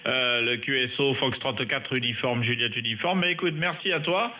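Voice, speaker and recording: A man's voice: medium pitch, moderate pace. A man chatting casually as an amateur radio operator, hesitant and repeating short phrases, on a narrow radio sound. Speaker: amateur radio operator, recording for his online channel. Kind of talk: casual ham radio chat.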